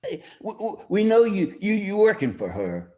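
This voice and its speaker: A man's voice: sits high, wildly swooping pitch, moderate pace, a little mellow, highs cut off. An actor playing a dim, menacing mobster henchman with a stammer in a campy noir radio play. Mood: bumbling menace.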